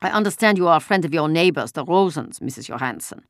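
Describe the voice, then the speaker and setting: A woman narrator plays an angry Nazi officer in a storybook reading. The voice is forceful and raised, with a harsh bark and a German accent, in a very dry recording. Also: big pitch swings, normal speed, dry recording. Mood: menacing. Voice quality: deep, harsh. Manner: loud, barking, menacing. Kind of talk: audiobook character voice.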